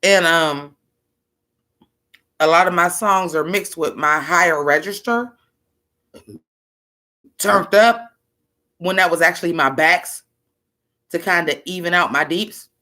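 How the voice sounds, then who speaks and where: A woman rapper with a deep, bass-heavy voice, chatting loosely to her viewers as she reacts to her own old album. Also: takes it slowly, long pauses, bright, forceful tone. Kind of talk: casual reaction commentary.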